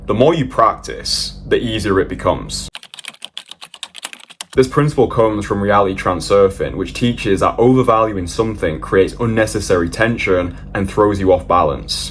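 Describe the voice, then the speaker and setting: A young British man with a fairly low voice, speaking as a dating coach in a scripted voice-over. He talks straight at the listener, blunt and matter-of-fact, dropping a casual "bro" as he lays out his points. A faint hiss sits under the voice.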